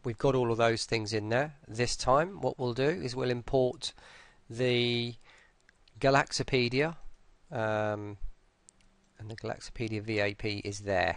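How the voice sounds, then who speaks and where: A man presenting a software tutorial in a casual, informal way, explaining hesitantly step by step. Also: medium pitch, smooth, dry recording. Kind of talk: casual screen-recorded tutorial narration.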